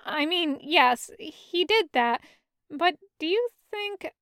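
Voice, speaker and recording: A woman voicing an awkward, mild-mannered man in an audio story, speaking in a halting, apologetic way. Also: very high voice, lively intonation, fairly bright tone, no room sound, clean studio sound, heavily acted. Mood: awkwardly defensive. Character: awkward, mild-mannered male friend, conciliatory superhero.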